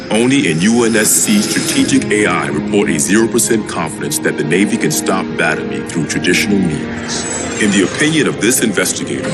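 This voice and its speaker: Deep-voiced, grave military intelligence officer. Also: male voice. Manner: formal, measured, grave. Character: stern military intelligence officer delivering a target profile. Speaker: military investigator. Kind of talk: scripted narration, formal intelligence briefing.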